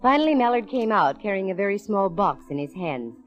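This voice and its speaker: An actress playing a confident, nosy private eye in a breezy, slangy 1940s radio style. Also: female voice, medium pitch, very animated, brisk, highs cut off, lightly acted. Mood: wry narrating. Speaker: lead actress in a radio detective serial. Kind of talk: 1940s radio acting, hard-boiled narration and dialogue. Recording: vintage radio show, music behind.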